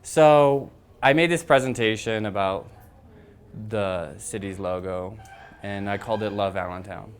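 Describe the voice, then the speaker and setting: A young man who founded a design agency, telling a rambling, animated story to a crowd on a handheld microphone in an event room. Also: fairly low pitch, unhurried, a little hiss or hum. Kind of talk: casual presentation to an audience.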